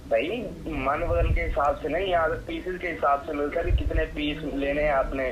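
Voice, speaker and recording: A man's voice: a little high, fairly even intonation, brisk, fairly bright tone. A man with a Pakistani accent working as a medicine supplier, talking on the phone in an exasperated, sarcastic way. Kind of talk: phone conversation. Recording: dull, noisy phone line.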